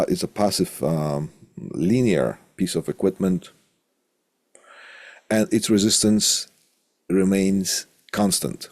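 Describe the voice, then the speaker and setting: A man teaching as a college instructor in an informal technical lecture, deliberate, often repeating phrases and posing questions to himself. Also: low-pitched, a little mellow, slightly rough, no echo.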